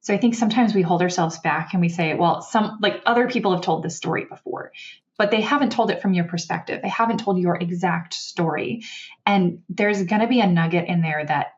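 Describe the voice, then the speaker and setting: A woman's voice: on the low side, a little fast. Encouraging woman host, muffled audio. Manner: encouraging, reflective, some "like"s. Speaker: podcast host. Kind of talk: casual interview chat. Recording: dull, slightly muffled audio.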